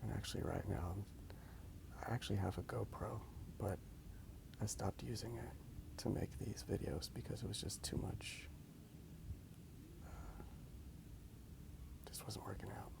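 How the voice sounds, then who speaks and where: A man speaks in a half-whispered ASMR murmur with heavy vocal fry. He rambles hesitantly, often trailing off, as he hosts a casual drawing video outdoors on a handheld phone. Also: on the low side, a little slow, pauses often. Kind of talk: soft-spoken ASMR rambling. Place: outdoors in a park.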